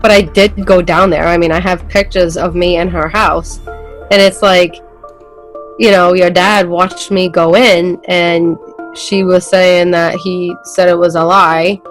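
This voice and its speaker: A woman's voice: on the low side, level tone, a little slow, soft background music. A woman from New England with a New England accent, a guest telling her story in a casual podcast interview, rambling and full of fillers and restarts. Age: adult with school-age kids.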